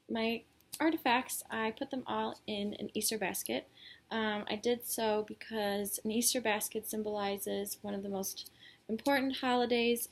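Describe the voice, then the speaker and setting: A young woman from Illinois, a college student whose first language is Polish, giving a class presentation with many ums and restarts. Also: mid-range voice. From Illinois, United States. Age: young adult.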